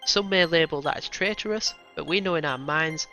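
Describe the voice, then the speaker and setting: A man's voice: fairly high pitch, fairly quick, pushed and bright, faint music behind. A man playing a bold young lieutenant who is earnest and persuasive, on a dull lo-fi recording. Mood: rousing. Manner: earnest, rousing speech-giving.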